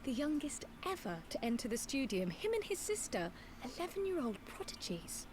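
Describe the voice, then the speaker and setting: A woman's voice: high voice, sing-song, normal speed, noisy background. A woman playing a small, teasing, self-assured fantasy scholar in a video game, with wry, precise and formal phrasing.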